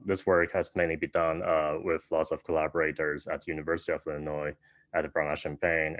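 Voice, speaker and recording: A young man from Taiwan giving an online academic talk, soft-spoken with many fillers and restarts, over a video call. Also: deep voice, dry recording. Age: young adult. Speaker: guest speaker.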